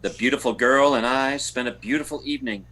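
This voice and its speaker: A man's voice: mid-range voice, sharp tone, lightly acted, poor audio. A man playing a melodramatic, easily flustered bachelor playboy in 1940s-style radio comedy, with a theatrical delivery. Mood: droll, lovelorn. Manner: drawn-out, theatrical, stammering when flustered.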